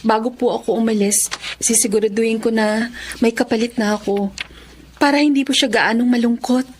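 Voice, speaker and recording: An actress playing a caring, earnest nanny in a Filipino radio drama, with a Filipino accent. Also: female voice, fairly high pitch, steady tone, moderate pace, a little hiss or hum, lightly acted. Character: caring, earnest young nanny pleading for her ward.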